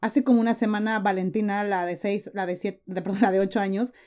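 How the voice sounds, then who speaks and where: Chatty Mexican woman with a mellow voice. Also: medium pitch, little pitch movement, fairly quick. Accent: Mexican Spanish. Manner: chatty, frequent fillers and restarts. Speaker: guest. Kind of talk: casual interview chat.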